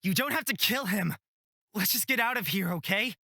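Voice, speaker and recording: A woman's voice: medium pitch, animated, moderate pace, sharp tone, dry recording, studio quality. A woman voicing an earnest, anxious young peacemaker in a fantasy visual novel, speaking with urgency. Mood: reassuring. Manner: pleading, urgent. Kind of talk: visual novel character reading.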